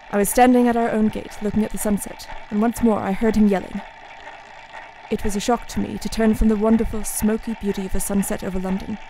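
A woman's voice: mid-range voice, dark tone, slight background noise, lightly acted, poor audio. A woman playing a grave, heartsick Victorian asylum doctor in a radio play, with formal, reflective delivery. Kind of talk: radio play acting, diary narration and dialogue.

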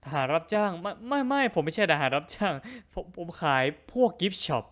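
A man's voice: sits high, sing-song, fairly quick, a bit bright. A man voicing a meek, stammering gift-shop seller, nervous and pleading, in a film dub style. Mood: panicked.

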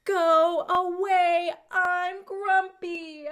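A young woman voicing grumpy storybook animals with drawn-out, emphatic grumbling. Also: very high-pitched, flat delivery, a bit bright, roomy sound, voice pushed up, full character voice.

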